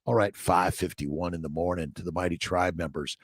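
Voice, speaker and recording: A man's voice: sits low, fairly even intonation, brisk, breathy edge, no room sound, studio quality. An American man hosting an informal motivational livestream, exhorting listeners in short repeated phrases and loose asides. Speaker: host, fertility doctor. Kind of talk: informal morning livestream, motivational chat with read-aloud passages.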